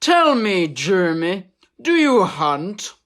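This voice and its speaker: A woman's voice: medium pitch, very animated, slow. A woman playing a stern, formal upper-class father.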